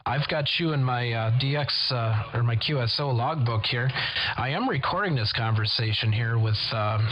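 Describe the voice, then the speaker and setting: An American man talking casually over CB radio, polite and measured, with pauses and radio procedure words. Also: mid-range voice. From United States. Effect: CB radio transmission. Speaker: CB radio operator who records contacts. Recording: dull CB radio audio, faint static.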